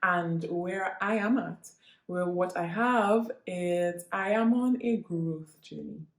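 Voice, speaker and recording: Trinidadian woman, solo vlog host; earnest, halting delivery, takes her time; echoey room sound. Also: lowish voice. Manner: earnest, halting, with frequent restarts and repeats. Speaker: vlogger. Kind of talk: reflective personal monologue to viewers.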